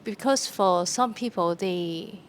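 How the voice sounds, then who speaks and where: A young woman from Hong Kong with a Hong Kong accent, answering in a halting way with many fillers and restarts. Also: fairly low pitch. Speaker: theatre practitioner. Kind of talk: conversational interview answer. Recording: faint background noise.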